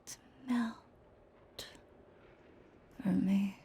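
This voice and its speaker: A woman playing a possessive, hypnotic goddess in a whispered ASMR roleplay, coaxing a captive pet tenderly but with command, in breathy phrases with long pauses. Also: mid-range voice, steady tone, mellow, exaggerated voice. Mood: hypnotic, soothing. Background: ambient sound effects.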